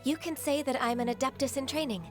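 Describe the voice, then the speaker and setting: A gentle half-human spirit woman from a game, voiced by a woman, with a soft, polite and earnest delivery. She sits a bit high for a woman, and she sounds insecure even as she lectures calmly. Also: quick pace, music in the background, close to an everyday voice.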